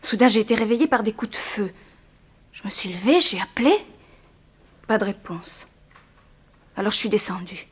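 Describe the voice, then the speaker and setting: Young female, played by an actress: mid-pitched, earnest, plaintive orphaned daughter, restrained sorrow, old radio drama style. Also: normal speed, pauses often, husky, lightly acted. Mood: subdued, recounting. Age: young adult. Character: sorrowful young daughter of a harsh plantation owner. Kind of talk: 1960s French radio drama acting.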